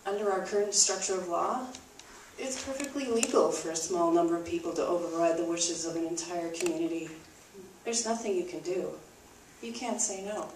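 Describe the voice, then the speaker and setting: A woman's voice: on the low side, moderate pace, a little mellow, recorded from a distance, natural voice. A polite, matter-of-fact legal advocate, played by a woman explains things helpfully in a homemade puppet show. The voice sits off the microphone in an echoing room with some faint noise. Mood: resigned. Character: helpful, matter-of-fact nonprofit attorneys advising locals.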